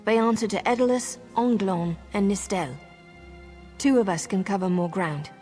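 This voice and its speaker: A woman's voice: medium pitch, normal speed, a little mellow, soft background music, close to an everyday voice. A woman voicing a resolute, earnest elf marine sergeant worried for her squad in a fantasy video game.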